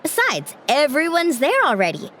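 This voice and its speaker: Bright teasing girl friend, voice jumps all over, music behind. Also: high voice, normal speed, background noise. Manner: teasing, lively. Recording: game audio with music behind.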